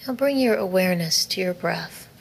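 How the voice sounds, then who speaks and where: A woman teaching a guided meditation in a soothing, measured, instructive way, with soft, breathy phrase endings. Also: lowish voice, lively intonation, poor audio. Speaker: yoga nidra meditation teacher. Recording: faint background noise.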